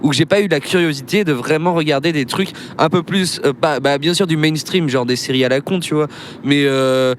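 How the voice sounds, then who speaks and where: A young man talking fast in a loose, self-interrupting way. His voice sits a bit high. A faint car hum runs underneath. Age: young adult. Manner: rambling, self-interrupting storyteller. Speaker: podcast co-host. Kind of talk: casual chat. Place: inside a moving car.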